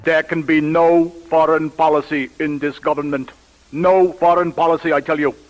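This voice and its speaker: An actor as a senior male government adviser in a radio drama. He rants in a slightly high voice, agitated and despairing, hammering his points. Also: little pitch movement, normal speed, close to an everyday voice, rough recording. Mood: exasperated.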